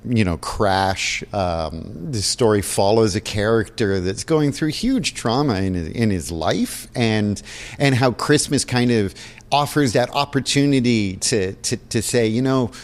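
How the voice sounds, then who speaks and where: A man's voice: fairly low pitch, lively intonation, unhurried. A man explaining things earnestly and enthusiastically in a conversational interview. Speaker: theater technical director and stagecraft instructor. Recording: reverberant hall-like room.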